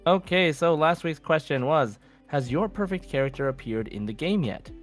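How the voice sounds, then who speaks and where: A man's voice: a little high, lively intonation, normal speed. Casual male podcast host over music.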